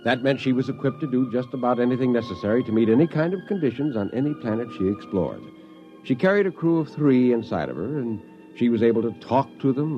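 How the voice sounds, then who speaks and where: An actor playing a grumbling, skeptical astronaut in a 1950s radio drama, wry and plain-spoken. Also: male voice, mid-range voice, close to an everyday voice. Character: grumbling, skeptical male astronaut narrating his tale. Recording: muffled 1950s radio recording.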